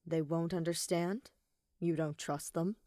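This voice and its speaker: Composed teenage boy schemer, read by a woman, lowish voice, plain measured delivery, guarded, story audio. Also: steady tone, warm tone, no echo, clean studio sound, natural voice. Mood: challenging.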